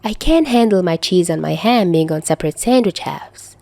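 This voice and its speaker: A woman's voice: fairly low pitch, expressive, moderate pace, vocal fry, mellow, no echo. A woman voicing a defiant, sulky teenage boy in an audiobook story, in a pouting, protesting manner.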